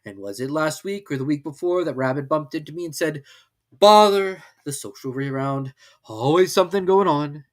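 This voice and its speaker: A man's voice: on the high side, expressive, voice pushed up, close to an everyday voice. Man doing a glum pompous old donkey. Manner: glum, slow, pompous speech-making. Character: gloomy old male donkey.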